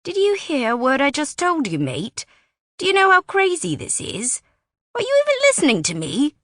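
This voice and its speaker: A woman's voice: on the high side, very animated, moderate pace, fairly bright tone, no room sound, studio quality, pitched above the performer's own voice. A woman voicing an impatient, stubborn young British man who scolds urgently. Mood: heated.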